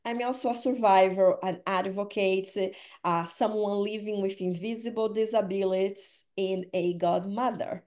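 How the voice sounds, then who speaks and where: A woman's voice: mid-range voice, steady tone, a little mellow. Woman hosting a panel. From Brazil. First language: Portuguese. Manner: measured, reads prepared remarks with tag questions. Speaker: host, staff of the organization. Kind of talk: scripted panel remarks.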